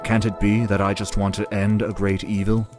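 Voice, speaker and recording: An actor playing an earnest, righteous royal commander in an animated fantasy drama, formal and resolute. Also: male voice, on the low side, normal speed, music in the background, lightly acted. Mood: stern, defensive.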